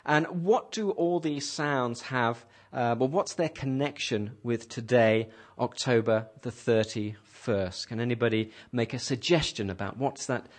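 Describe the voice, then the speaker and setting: A man's voice: medium pitch, a little slow, few pauses. A man hosting a radio English lesson, patiently asking a class questions and repeating their answers back to them. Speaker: host leading an English class.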